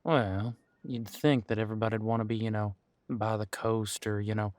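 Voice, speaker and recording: A man's voice: on the low side, steady tone, moderate pace, darkish tone, no room sound, natural voice. A man playing a friendly, long-serving supernatural squad cop in an audio roleplay, chatting in an easygoing, folksy, slightly hesitant way. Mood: cordial, chatty. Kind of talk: conversational audio roleplay to an unseen partner.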